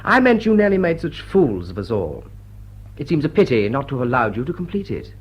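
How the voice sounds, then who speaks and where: An actor playing a suave, teasing lord with urbane, smug politeness in a classic stage comedy. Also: male voice, mid-range voice, big pitch swings, a little fast, breathy edge, highs cut off. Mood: teasing, insistent. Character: suave, teasing gentleman host, a dashing lord. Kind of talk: classic stage comedy radio drama acting.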